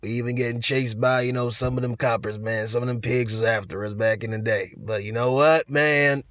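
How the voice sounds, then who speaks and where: A man's voice: mid-range voice, fairly even intonation, brisk, fairly bright tone, dry recording, lightly acted. A man voicing a laid-back aging stoner who drawls and fills his talk with "man" and "bro". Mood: mellow griping.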